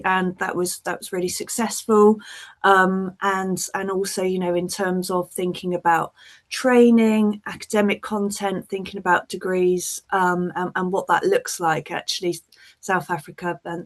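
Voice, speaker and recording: A woman speaking as a principal social worker on a webinar panel, earnest and measured as she explains, over a video call. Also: medium pitch.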